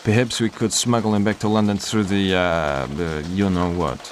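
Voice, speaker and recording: Man playing a careful dutiful major in a war game, on the low side, reserved and proper. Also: steady tone, a little hiss or hum, lightly acted. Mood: wary. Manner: formal, measured, reserved. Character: cautious, dutiful major on escape committee. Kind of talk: video game cutscene acting.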